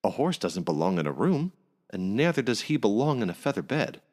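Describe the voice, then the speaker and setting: A man's voice: fairly low pitch, very animated, a little fast, a little mellow, pitched above the performer's own voice. A man voicing a courteous, mild young male student in a storybook folk tale. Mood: protesting.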